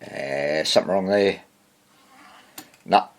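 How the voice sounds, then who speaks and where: Man, rough creaky voice, unhurried delivery, casual repair host, hesitant, muttering to himself. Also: rumbling low. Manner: hesitant, thinking aloud, muttering self-questions. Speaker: radio repairer and video host. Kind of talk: casual unscripted repair walkthrough.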